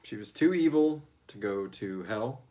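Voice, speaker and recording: A man's voice: fairly low pitch, animated, unhurried, dark tone. A man hosting a fan Q&A, chatting informally and jokily with salty language as he answers questions. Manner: informal, profane, jokey. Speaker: host of a fan Q&A show. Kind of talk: casual chat answering listener questions.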